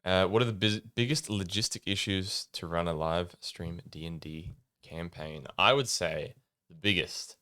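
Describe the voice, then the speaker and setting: A young man chats loosely on a podcast, filling pauses with ums and easy laughter. His voice sits very low and comes through smooth and resonant. The sound is dry and close, with no room around it. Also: no room sound. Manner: relaxed, rambling, many ums and laughs. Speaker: podcast co-host. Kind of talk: casual chat. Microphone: studio mic.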